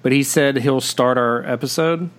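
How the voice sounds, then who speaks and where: A man's voice: mid-range voice, moderate pace. A man hosting a podcast in casual chat, rambling with many fillers and restarts. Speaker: podcast host.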